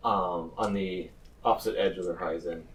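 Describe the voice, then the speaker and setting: Low-pitched male game master, slightly distant. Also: steady tone, normal speed, slight background noise. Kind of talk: improvised tabletop game narration.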